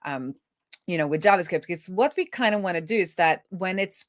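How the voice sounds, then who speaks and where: A woman hosting a casual live coding stream, thinking out loud as she works and often trailing off and rephrasing. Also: fairly low pitch, animated, brisk, no room sound, studio quality. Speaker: host, web developer live coding.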